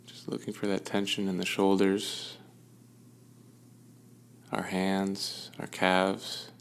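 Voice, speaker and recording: A man leading a guided meditation with gentle, soothing instruction and unhurried pauses. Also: low voice, completely flat delivery, smooth.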